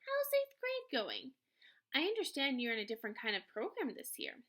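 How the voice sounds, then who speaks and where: A woman reader plays a mother in a children's storybook. The voice is high and very lively, coaxing and reassuring, with an inquiring tilt. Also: moderate pace, heavily acted. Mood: curious. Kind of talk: children's novel read-aloud.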